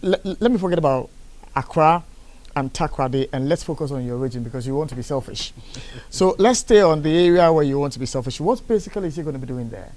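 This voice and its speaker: A man's voice: fairly high pitch, lively intonation, quick pace, darkish tone. A man hosting a live broadcast interview, speaking emphatically and lifting his voice on questions. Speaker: talk show host.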